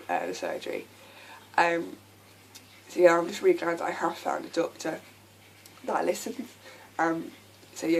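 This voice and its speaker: Give me a croaky young woman with a slightly low voice, talking hesitantly to camera over faint hiss. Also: darkish tone, low-quality recording. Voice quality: croaky from a lasting sore throat. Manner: hesitant, many ums, candid and personal. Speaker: vlogger. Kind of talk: casual talk to camera.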